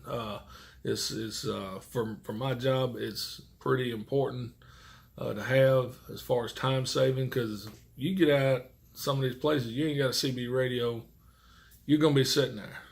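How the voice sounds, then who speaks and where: A man's voice: mid-range voice, steady tone, unhurried, gravelly. A middle-aged man, a truck driver chatting casually and folksily in a rambling way to his viewers. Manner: folksy, rambling, mild swearing. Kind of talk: casual chat to camera.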